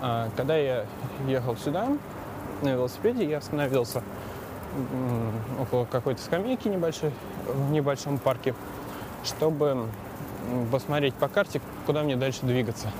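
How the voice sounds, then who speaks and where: A man talks while he cycles on a city street, stopping often to hesitate and ramble. His voice sits in a middle pitch, and loud traffic noise runs behind him the whole time. It sounds like a portable recorder carried outdoors. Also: moderate pace. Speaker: podcast host. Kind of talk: casual monologue while cycling outdoors.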